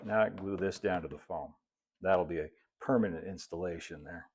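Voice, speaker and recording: A middle-aged American man giving a casual hands-on tutorial, unhurried and folksy, thinking aloud as he works. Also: sits low, darkish tone, slightly rough. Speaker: host, model railroad builder. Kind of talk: casual hands-on tutorial talk while working. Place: indoor hobby workshop at a cluttered workbench and layout.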